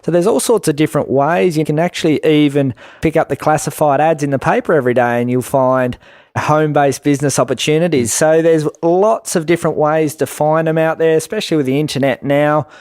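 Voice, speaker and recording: A man's voice: on the high side, fairly even intonation, fairly quick, a little mellow, no room sound. Dry-sounding man chatting as interview guest. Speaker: guest business expert. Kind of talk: conversational advice in an interview.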